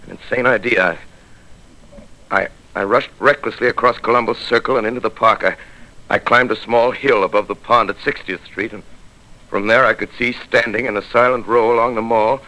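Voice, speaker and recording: An actor playing a solemn, weary scholar who survived an invasion, narrating in a grave, theatrical 1930s radio drama style. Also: male voice, medium pitch, steady tone, bright, forceful tone, poor audio. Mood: tense awe. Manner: grave, measured, theatrical, reflective.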